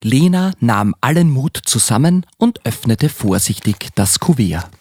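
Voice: medium pitch, very animated, a little slow, a little mellow, breathy edge. A young school-age child narrating a children's Christmas radio play in a scripted storybook style. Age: child, about ten.

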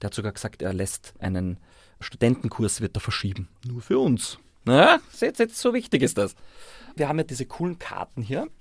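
A forty-year-old man with an Austrian accent talks casually and encouragingly. His voice sits at an ordinary male pitch. The sound is dry, with hardly any room on it. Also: sing-song, normal speed, no echo. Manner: informal, encouraging. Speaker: primary school teacher and musician. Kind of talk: casual explaining and coaching.

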